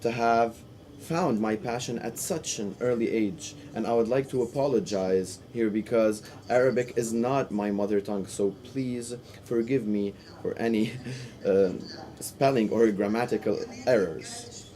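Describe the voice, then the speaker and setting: A man interpreting a panel discussion live, in halting phrases with restarts and sudden bursts of speed. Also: fairly low pitch, dark tone. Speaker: simultaneous interpreter.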